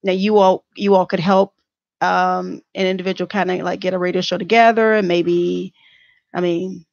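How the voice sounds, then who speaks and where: A woman hosts a casual studio talk show, greeting viewers and asking halting questions padded with fillers. Her voice sits mid-pitch with a gravelly fry. The sound is dry and close on a desk mic, somewhat dull. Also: flat delivery, no room sound. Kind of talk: casual studio chat, reading viewer comments. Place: small radio studio. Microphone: desk microphone.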